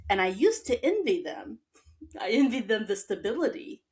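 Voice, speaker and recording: A woman's voice: fairly high pitch, big pitch swings, moderate pace, a little mellow. A woman from Texas and the Midwest hosting an interview in a casual, reflective conversational style. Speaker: interview host.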